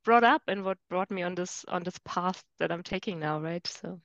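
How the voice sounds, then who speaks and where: A woman's voice: on the low side, normal speed, fairly bright tone, no echo. Woman on a muffled video call. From Germany. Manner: thoughtful, hesitant, many fillers. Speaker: guest. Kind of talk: casual interview chat.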